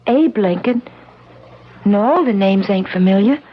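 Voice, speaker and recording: An actress playing an anxious, blunt young wife who presses insistently, in an old-time radio drama. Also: female voice, medium pitch, lively intonation, measured pace, dull audio, a little hiss or hum, close to an everyday voice. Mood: doubtful, anxious. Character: anxious, sharp-tongued young wife of an accused man.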